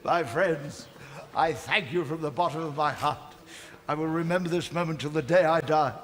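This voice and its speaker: Man acting high-voiced, theatrical old Scrooge. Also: moderate pace, natural voice. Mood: grandly grateful. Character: Scrooge, old miserly man, fearful, humbled, pleading. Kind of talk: musical radio drama acting. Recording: long hall reverb, loud music underneath.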